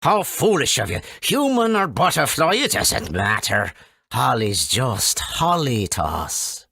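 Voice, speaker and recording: Small loyal woodland creature, game character, husky, bright edge, emotional, heartfelt. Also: male voice, mid-range voice, huge pitch swings, takes it slowly, no room sound, studio quality, exaggerated voice. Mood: hurt, affectionate. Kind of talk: video game voice acting.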